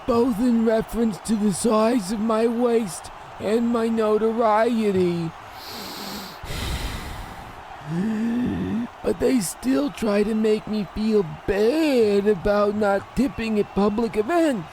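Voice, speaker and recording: A man's voice: high voice, takes it slowly, warm tone, slight background noise, rough recording. A man playing a huge, self-important, gluttonous mob boss in an old-time radio comedy, with a domineering, indulgent manner. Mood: self-pitying, indulgent.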